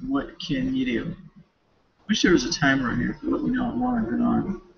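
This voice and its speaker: A man giving a casual, rambling recap as a student, talking half to himself in informal, slangy, halting phrases with self-corrections on a dull home recording. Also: mid-range voice, big pitch swings, vocal fry, slightly rough. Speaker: student reading a novel for a class paper.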